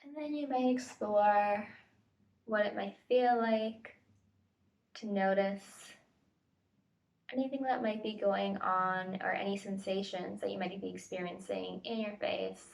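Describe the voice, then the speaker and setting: A woman facilitator leading a guided body scan meditation with gentle, tentative invitations and pauses, recorded at home. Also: medium pitch, fairly even intonation, vocal fry. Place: at home, indoors. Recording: home recording, distant mic.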